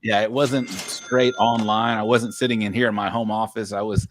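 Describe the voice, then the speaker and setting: Low-voiced man rambling on a video call. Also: steady tone, moderate pace, no room sound. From Texas. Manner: rambling with many restarts, laughs easily. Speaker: guest podcast host. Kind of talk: casual livestream chat. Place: home office.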